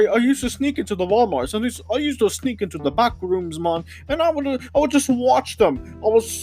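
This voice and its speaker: A man's voice: high-pitched, darkish tone. A man voicing a stammering male warehouse supervisor with a put-on Trinidadian accent, who repeats words and ends lines with "man". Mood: boastful. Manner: stammering, touchy and indignant. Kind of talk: improvised comedy podcast character.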